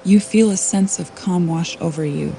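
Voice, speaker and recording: Soothing, very mellow female meditation guide. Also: fairly low pitch, a little hiss or hum. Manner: soothing, slow, unhurried with long pauses. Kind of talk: guided sleep meditation, scripted narration. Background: soft calming background music.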